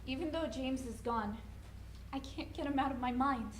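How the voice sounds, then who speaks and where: Actress as disillusioned young mother holding firm, high voice, dramatic stage reading in an echoey room. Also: moderate pace, lightly acted. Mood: wistful, resolute. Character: shaken but resolute young mother, disillusioned by her lover. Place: indoor hall at a college event. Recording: echoey room, faint background noise.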